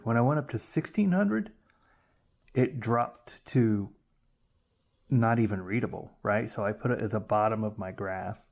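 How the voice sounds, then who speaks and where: A man's voice: mid-range voice, a little mellow. A man hosting a casual hands-on tutorial, explaining informally and thinking aloud, often correcting himself as he goes. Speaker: YouTube host demonstrating vintage audio testing.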